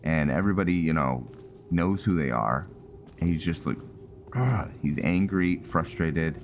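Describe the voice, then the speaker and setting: A man's voice: rumbling low, animated, unhurried, a little hiss or hum. A man giving casual commentary on a roleplay video game, muttering to himself and often repeating his words. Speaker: gaming content creator.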